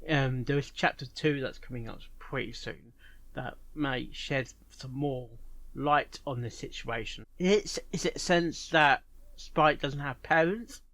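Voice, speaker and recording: A man's voice: mid-range voice, measured pace, fairly bright tone, no room sound. A man hosting a reaction video, musing and speculating aloud in unscripted commentary.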